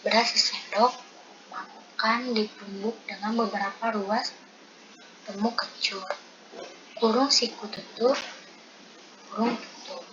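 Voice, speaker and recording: A woman's voice: mid-range voice, steady tone, takes it slowly, pauses often. A young woman reading out presentation material item by item as a student presenter. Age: young adult. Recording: dull lo-fi audio with loud noise.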